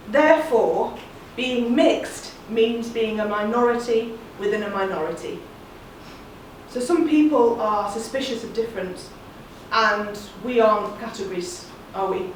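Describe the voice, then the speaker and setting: A woman, giving an earnest, measured spoken-word monologue. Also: fairly high pitch, lively intonation, slight background noise. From England. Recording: very echoey room, distant mic.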